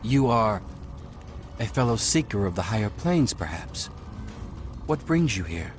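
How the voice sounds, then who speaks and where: A devout male cult leader in a video game, who speaks of the astral plane with calm reverence. His voice sits very low and stays soft and formal throughout. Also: a little slow, soft background music. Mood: serene.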